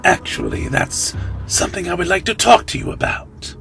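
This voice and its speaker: A man playing a sly, probing vampire in an audio drama, deliberate and insinuating. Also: high-pitched, wildly swooping pitch, vocal fry, a bit bright, heavily acted. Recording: dramatic music behind.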